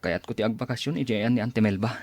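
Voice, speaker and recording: A male actor plays a heartbroken young Ilocano man in a radio drama. His voice sits low and sounds plaintive and brooding, full of self-pity. The sound is close and dry. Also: quick pace, darkish tone, no echo, natural voice. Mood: sad resignation.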